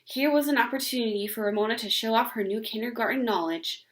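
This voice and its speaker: A woman reading a children's book aloud as an expressive storyteller. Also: on the high side, fast.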